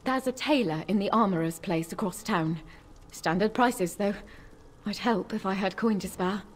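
A woman voicing an earnest, grieving elf forger in a fantasy video game, solemn and measured. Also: mid-range voice, a little hiss or hum, natural voice. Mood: plain.

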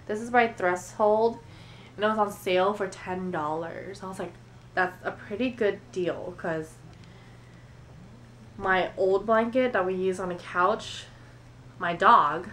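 A woman's voice: on the low side, a bit bright, a little hiss or hum. A woman in her twenties with an American accent, slightly congested from a cold, chatting casually to camera about her shopping. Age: 20s. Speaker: beauty and shopping vlogger. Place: indoor room at home.

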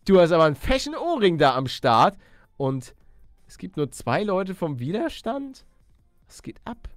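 A young man with a slightly high voice commentates on a video game in a casual, animated way. He uses plenty of slang and talks chummily to his viewers. The sound is dry and close. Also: no room sound. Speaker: YouTube gamer, let's play host.

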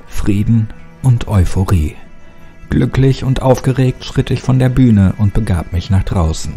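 A man's voice: on the low side, a little slow, warm tone. A man giving a scripted, dramatic first-person narration of a horror story. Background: music.

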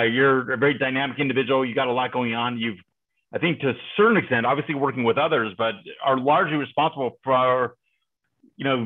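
A middle-aged man hosting a video call interview, chatty and playful with many fillers. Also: mid-range voice. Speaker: host. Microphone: headset on video call. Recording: tinny phone-like video call line.

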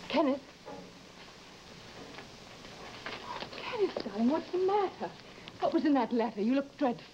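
Worried insistent wife, high emotional voice, muffled old drama recording. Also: female voice, very animated, brisk. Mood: concerned. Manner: earnest, pressing, emotional. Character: worried, insistent wife pressing her secretive husband. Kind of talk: vintage mid-century broadcast drama acting. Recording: dull, noisy vintage broadcast recording.

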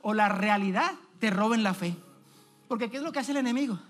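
A man in his thirties preaching from a church stage through a headset mic. He talks in an animated way, calling out questions and waiting for the congregation to answer. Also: sits high, brisk. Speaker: evangelist preacher. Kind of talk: energetic sermon.

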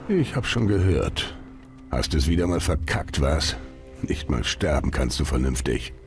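A man's voice: extremely low pitch, sing-song, unhurried, over music, heavily acted. An actor playing a domineering, mocking male gang boss in a video game, sneering and dismissive. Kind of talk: dramatic video game acting.

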